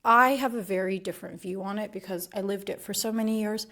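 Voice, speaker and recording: A woman's voice: medium pitch, fast, pushed and bright. A young adult woman from Dubai, a guest on a podcast, talking in a casual, reflective way and laughing often. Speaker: podcast guest, school principal.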